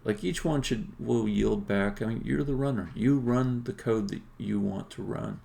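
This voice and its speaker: A man, middle-aged, a game developer talking casually and opinionatedly through a live-coding stream, halting at times and then emphatic. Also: fairly low pitch, vocal fry, mellow.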